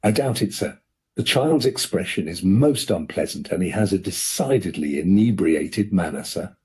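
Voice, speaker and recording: A man plays a calm, formal valet who speaks in a measured, deferential way. His voice sits low and sounds very husky. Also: exaggerated voice. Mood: composed.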